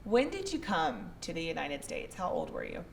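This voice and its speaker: A woman's voice: sits low, huge pitch swings, normal speed, gravelly. A woman interviewing a guest in a conversational way, asking curious questions with light teasing.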